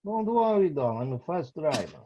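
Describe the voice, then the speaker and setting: A man acts out a cocky boyfriend in his late twenties at a script table read. He needles and teases with a highly animated, swooping delivery. The voice is a little husky, on a dull lo-fi recording. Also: normal speed. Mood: casual, cocky. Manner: flippant, needling. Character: scruffy, teasing, reckless 28-year-old boyfriend.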